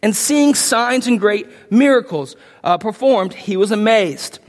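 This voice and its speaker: A man preaching a verse-by-verse sermon, his voice a little lower from illness, earnest with frequent restarts and rising emphasis. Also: expressive, a little slow, in a large hall. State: sick, voice deeper than usual. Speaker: pastor.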